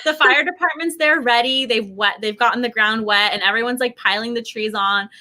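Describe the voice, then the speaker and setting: A middle-aged woman telling casual stories in an animated, chatty way, acting out what people said. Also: a little high, fairly quick. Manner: animated, chatty, acts out quoted remarks. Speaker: guest sharing family travel experiences. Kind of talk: casual conversational storytelling.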